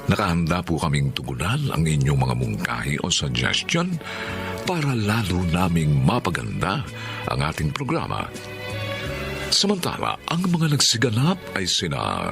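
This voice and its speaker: A Filipino man hosting a radio drama, reading scripted narration in a formal, theatrical, deliberate way. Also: low voice, gravelly. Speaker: radio drama announcer and host.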